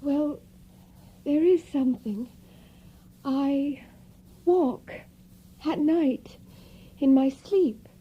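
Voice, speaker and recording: An actress playing a frail, anxious young gentlewoman in an audio drama, with a breathy, hesitant, languid delivery. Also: high voice, very slow, pauses often. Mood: uneasy. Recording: muffled, dull recording.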